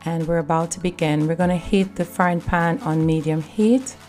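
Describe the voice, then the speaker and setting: A woman hosting a cooking video, giving calm step-by-step recipe instructions in voice-over. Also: on the low side, moderate pace, soft background music. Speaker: cooking channel host.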